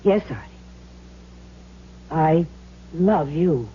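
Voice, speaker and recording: An actress playing a tough, weary fake medium with a conscience in a seventies radio drama, speaking with emotion. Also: female voice, deep voice, animated, extremely slow, a little hiss or hum, full character voice. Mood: subdued, tender. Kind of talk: 1970s radio drama acting. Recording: dull lo-fi old radio broadcast.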